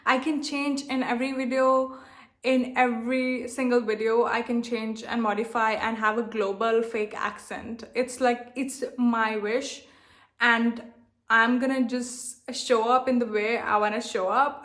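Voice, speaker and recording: A young woman from India with a softened, globalized Indian accent, talking candidly and defiantly straight to her viewers, repeating herself and leaning on "like". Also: on the high side. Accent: Indian, softened toward a global accent. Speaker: self-love coach and channel host. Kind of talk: direct-to-camera vlog monologue.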